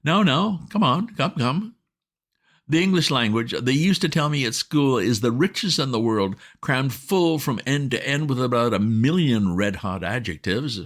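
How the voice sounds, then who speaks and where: A man voicing a flustered, excitable young gentleman who bursts out in indignant exclamations in a storybook reading. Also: mid-range voice, pitched above the performer's own voice, close to an everyday voice. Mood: sarcastic. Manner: exclamatory, indignant, rapid outbursts.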